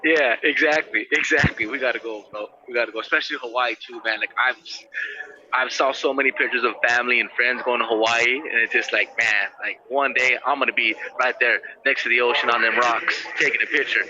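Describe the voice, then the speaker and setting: Bright, effusive man on a lo-fi call. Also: medium pitch, fairly even intonation, fairly quick. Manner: effusive, slangy, repeats himself, says bro and man often. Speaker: call-in guest phoning from prison. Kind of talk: casual phone chat. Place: prison, on a phone or video visit call. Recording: dull lo-fi phone call with hiss.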